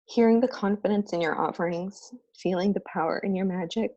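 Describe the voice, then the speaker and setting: A woman's voice: mid-range voice, a little fast, dark tone, dull audio. A woman giving a heartfelt, reflective speech at a spiritual ordination ceremony. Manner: earnest, reflective, spiritual address. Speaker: ordained minister giving a speech.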